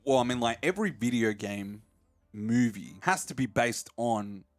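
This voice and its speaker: A man's voice: medium pitch, moderate pace, no echo, soft background music. A man hosting a podcast, discussing films in a casual, opinionated way. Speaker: podcast host.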